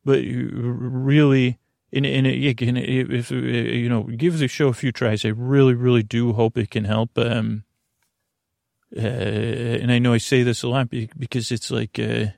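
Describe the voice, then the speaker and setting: A man hosting a sleep podcast in a meandering, halting monologue, with a creaky voice, often repeating himself and trailing off mid-thought. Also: medium pitch, flat delivery, a little mellow, no echo, clean studio sound. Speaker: podcast host.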